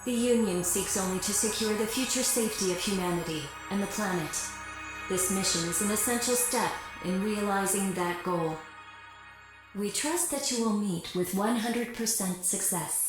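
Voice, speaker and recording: A woman's voice: medium pitch, normal speed, lightly acted. A woman playing a cool, businesslike corporate mission briefer in a video game, formal, clipped and impersonal. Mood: cool, official. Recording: heavily echoing transmission under music.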